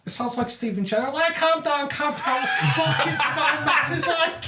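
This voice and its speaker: A man with a Liverpool accent, co-hosting a casual podcast chat in an animated, joking way with casual swearing. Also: very high-pitched, moderate pace, over loud music. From Liverpool, England. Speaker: podcast co-host. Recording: echoey room, faint background noise.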